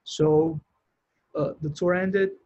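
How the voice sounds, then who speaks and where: A man's voice: fairly high pitch, fairly even intonation, slow pace, a little mellow, dull audio. A man with a Brazilian accent, a sound engineer, telling his story in a casual interview and rambling with many restarts. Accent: Brazilian Portuguese. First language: Portuguese.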